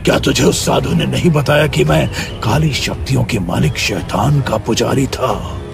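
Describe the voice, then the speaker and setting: A man voicing a cursed, wrathful undead king, gravelly and taunting, in an animated story. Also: medium pitch, moderate pace, over music. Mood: wrathful menace. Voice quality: gravelly, menacing. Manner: angry, taunting. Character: cursed, wrathful half-golden undead king, devil worshipper. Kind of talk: animated story villain acting.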